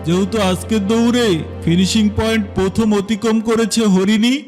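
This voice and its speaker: A man's voice: high-pitched, fairly even intonation, fairly quick, music in the background, natural voice. A man playing a stern, formal lion king of the jungle in a children's cartoon. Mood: formal, firm.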